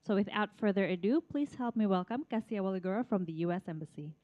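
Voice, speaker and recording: A woman's voice: mid-range voice, fast talker, phone-quality sound, slight background noise. A woman speaks as an event host, addressing an audience and introducing a speaker.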